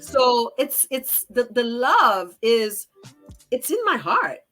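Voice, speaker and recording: A woman in her forties with a Haitian accent answers podcast questions, speaking slowly and deliberately with a heartfelt tone. Her voice sounds bright and forceful. Faint music plays in the background. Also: on the high side, sing-song. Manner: heartfelt, deliberate, with light laughs. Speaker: podcast guest. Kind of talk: interview answers.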